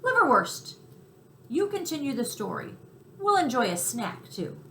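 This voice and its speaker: A woman voicing an excitable, generous male mouse for a children's story, speaking in short, eager, exclamatory bursts. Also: high-pitched, a little slow, roomy sound, slight background noise, voice pushed up, full character voice. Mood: proud.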